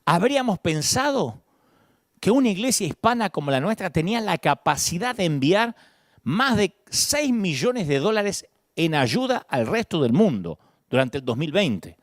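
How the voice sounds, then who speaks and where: Man, middle-aged, Buenos Aires accent, pastor, sermon, emphatic, high voice, dry sound. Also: moderate pace, no echo. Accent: Argentine Spanish, Buenos Aires. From Argentina. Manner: expressive preaching, swings between high emphatic peaks and low asides.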